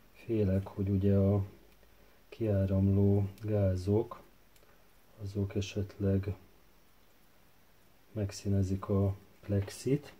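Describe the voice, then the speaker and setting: A man narrating a hands-on tutorial casually, hesitant and halting as he thinks aloud while working. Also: lowish voice, warm tone. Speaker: DIY tutorial host. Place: indoor workbench. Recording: faint background noise with handling clicks.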